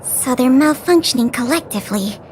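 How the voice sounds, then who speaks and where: A woman's voice: high voice, expressive, normal speed, a little mellow, slight background noise, low-quality recording. A woman voicing an earnest, dutiful young female officer in an anime-style video game, speaking articulately and in a businesslike, polite way. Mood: helpful, composed.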